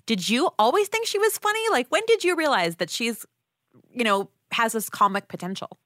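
A woman's voice: a little high, sing-song, brisk, fairly bright tone, no room sound, studio quality. A woman interviewer asking casual, chatty questions.

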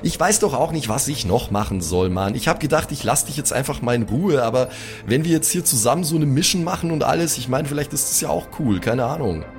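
A man improvising role-play as a tabletop player in halting, slangy, colloquial speech. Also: mid-range voice, expressive. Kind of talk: improvised in-character role-play mixed with casual table talk. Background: background music.

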